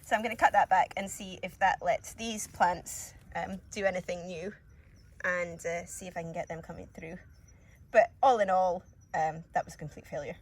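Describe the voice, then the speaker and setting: A woman's voice: fairly low pitch, steady tone, normal speed, slightly rough. A woman with a cold, a bit sniffly, chatting casually through a walk-through of her allotment garden with lots of ums and little laughs. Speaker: gardening vlogger.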